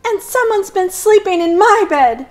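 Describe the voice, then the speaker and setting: A woman's voice: very high voice, animated, normal speed, a little mellow, faint music behind, voice pushed up. A woman reading a storybook and voicing an indignant mother who squeals her complaints. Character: shrill, indignant mother Missus Chan.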